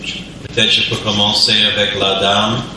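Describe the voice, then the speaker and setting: Hesitant pastor in an echoey church. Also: male voice, fairly low pitch, little pitch movement, moderate pace, background noise. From Canada, New Brunswick. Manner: hesitant, searching for words. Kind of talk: church service introduction. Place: church sanctuary. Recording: echoey church hall from far off.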